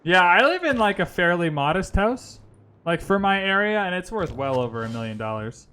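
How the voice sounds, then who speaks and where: A man with a bright, forceful voice pitched a little high talks through a strategy game in a live stream. He thinks his moves aloud in a loose, chatty way and breaks off to answer viewers. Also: very animated, moderate pace, slight background noise. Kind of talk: casual gaming commentary. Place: lives in Vancouver.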